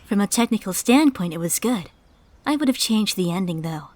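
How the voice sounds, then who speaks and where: A woman playing a composed, controlling villainess with a measured, deliberate delivery in an anime fan dub. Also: medium pitch, animated, a little mellow, lightly acted. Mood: coolly critical. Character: calm, menacing, controlling villainess.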